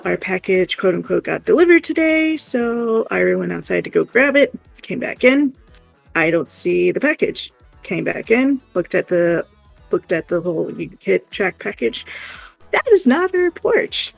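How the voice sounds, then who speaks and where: Creaky voiced streamer chatting and telling stories, animated, dry close mic, bit of music under it. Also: mid-range voice, unhurried, no room sound. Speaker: co-host of a livestream. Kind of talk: casual livestream chat and storytelling.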